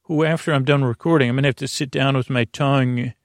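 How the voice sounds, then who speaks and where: A man hosting a sleep podcast in a rambling, whimsical bedtime monologue, halting and repeating words, reassuring and companionable, with a creaky voice. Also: mid-range voice, steady tone, no room sound, studio quality.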